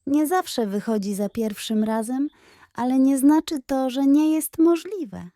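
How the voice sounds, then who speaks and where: Female seagull mentor, played by a woman, children's story. High voice, patient, kindly, encouraging, dry studio sound. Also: measured pace, dark tone, no room sound. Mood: gently encouraging. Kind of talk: children's bedtime audio story.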